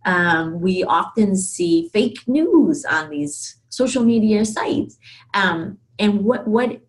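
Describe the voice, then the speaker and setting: A woman's voice: mid-range voice, expressive, slow pace. A woman lecturing in a conversational, explanatory way, thinking aloud and often checking in with rhetorical questions. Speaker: university lecturer.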